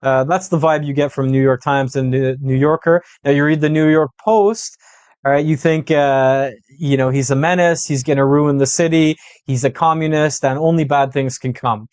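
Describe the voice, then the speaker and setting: A man's voice: a little high, fairly even intonation, moderate pace, darkish tone, clean tone, no echo. A man giving conversational political analysis as a guest, laying out points methodically and checking in as he goes. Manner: methodical, punctuates points with "right?" and "okay?". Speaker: political commentator, podcast guest.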